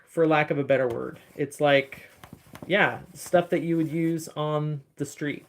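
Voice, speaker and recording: A woman with a deep voice chatting casually on a phone livestream from her studio, rambling a little as she answers viewers' comments. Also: darkish tone, slight background noise. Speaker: encaustic artist streaming live. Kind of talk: casual livestream chat while working.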